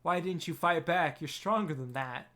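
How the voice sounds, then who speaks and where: A man's voice: fairly high pitch, moderate pace, sharp tone, voice pushed up. Man playing a vulgar fierce old grandmother. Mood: stern, tender. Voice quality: coarse, snarling, rural grammar.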